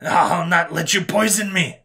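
A man's voice: high voice, steady tone, measured pace, gravelly, sharp tone, pitched above the performer's own voice, full character voice. Man as a stiff, indignant furry alien prisoner. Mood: horrified disbelief. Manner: stiffly formal, indignant, hissing when upset. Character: stern, proud furry male alien prisoner, easily horrified. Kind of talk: storybook character voice in narrated sci-fi tale.

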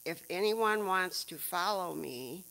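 A woman's voice: fairly low pitch, expressive, takes it slowly, vocal fry, fairly bright tone, low-quality recording. An older woman leading a call to worship, addressing the congregation from the pulpit of a small church. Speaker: lay worship leader.

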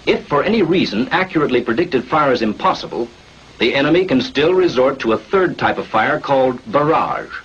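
A man's voice: mid-range voice, lively intonation, brisk, fairly bright tone, slightly rough. An American man reading scripted 1940s military training film narration in an authoritative, instructional manner. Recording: muffled, noisy 1940s film soundtrack.

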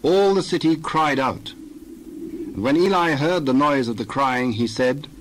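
A man reads scripture aloud at a measured, steady pace, voice in a middle register. There is a faint hum underneath. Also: medium pitch, rough recording. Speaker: scripture reader. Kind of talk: dramatized scripture reading.

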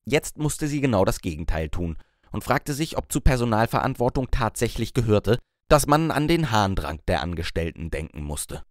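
Casting note: male audiobook narrator, lower register, dry close studio sound. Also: sing-song, moderate pace, dry recording.

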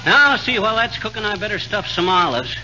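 An actor playing a folksy, blustering husband full of wisecracks in a 1940s radio comedy. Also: male voice, high-pitched, expressive, fairly quick, bright, forceful tone, full character voice. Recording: noisy, muffled 1940s radio recording.

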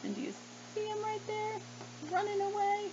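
A woman's voice: very high-pitched, normal speed. A woman in her thirties reading a picture book to children like a teacher, expressively and with questioning asides. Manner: animated, questioning, child-directed. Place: small room indoors at desk. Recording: slightly dull webcam sound, faint noise.

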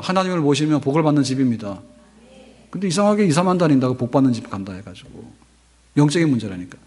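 A man's voice: a little high, a little mellow, breathy edge. A man in his fifties preaching a sermon from a church pulpit microphone, talking conversationally and asking the congregation rhetorical questions. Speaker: pastor. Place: large church sanctuary, at a pulpit. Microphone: pulpit microphone on stand. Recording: long hall reverb.

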